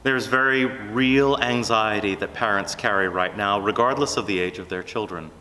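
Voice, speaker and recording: A man preaching a scripted sermon in an earnest, deliberate manner. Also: on the low side, sharp tone, a little hiss or hum. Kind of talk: sermon, measured scripted delivery. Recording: long hall reverb.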